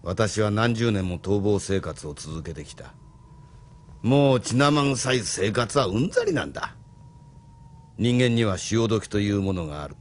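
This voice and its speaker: A man's voice: on the low side, lively intonation, measured pace. An actor in a Japanese audio drama playing a sardonic, unruffled retired rebel in his seventies, speaking with blunt familiarity. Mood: weary. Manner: familiar, gruffly worldly, sardonic. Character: wry, unruffled 75-year-old retired male rebel activist.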